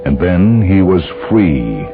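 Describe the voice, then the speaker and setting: A man's voice: deep voice, very animated, takes it slowly. A man narrating a scripted dramatic story. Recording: muffled phone-like band, faint music behind.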